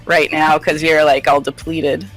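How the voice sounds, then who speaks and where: A man running a tabletop game as game master, narrating scenes in a relaxed, descriptive way. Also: fairly high pitch, unhurried, soft background music, rough recording. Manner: relaxed, descriptive, filler-laden.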